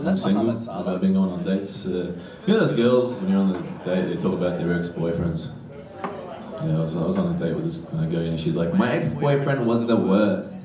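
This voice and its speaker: A man's voice: low-pitched, fairly quick. A man from Iraq doing a crude, blunt stand-up comedy set on a club stage. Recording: roomy club sound from a distance with loud crowd laughter.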